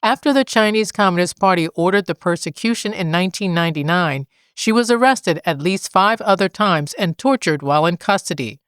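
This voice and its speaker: A woman reading scripted news in a measured, formal manner. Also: lowish voice, expressive, clear, resonant tone, no room sound, clean studio sound. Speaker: news reader.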